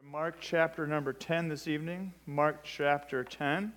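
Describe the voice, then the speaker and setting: A man's voice: on the high side, fairly even intonation, unhurried, music in the background. A young man preaching an evening sermon as a fill-in pastor, in a conversational way with frequent fillers and joking asides. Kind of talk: sermon with storytelling.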